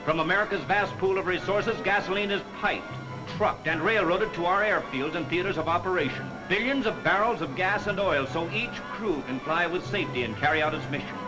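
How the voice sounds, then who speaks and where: Wry, theatrical 1940s film storyteller, highish and animated, selling cautionary tales in slang over an old soundtrack. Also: male voice, rough recording. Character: wisecracking folksy narrator figure named Joe telling cautionary tales. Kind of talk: 1940s training film narration.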